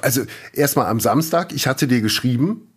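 A man co-hosting a podcast in casual chat, a dry, deadpan storyteller who now and then breaks into shrill mock rants. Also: normal speed, breathy edge. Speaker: podcast co-host.

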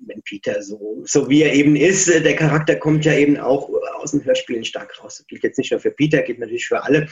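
A man's voice: mid-range voice, fast, hardly any pauses, muffled recording. A middle-aged man talking as an author guest in a casual interview, chatty, reflective and self-deprecating.

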